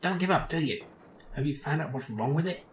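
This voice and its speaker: A man's voice: fairly high pitch, expressive, brisk, slight background noise, voice pushed up, close to an everyday voice, poor audio. A man voicing a snarky, sulking male robot sidekick in a game, with a dry, sarcastic, grumbling delivery. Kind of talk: reading game lines aloud in character.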